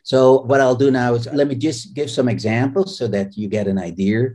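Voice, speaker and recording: Mellow husky man. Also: mid-range voice, fairly even intonation, normal speed. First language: Dutch. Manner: patient, explanatory, often checks in with "right?". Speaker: linguistics professor guest. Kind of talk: explaining examples in a podcast interview.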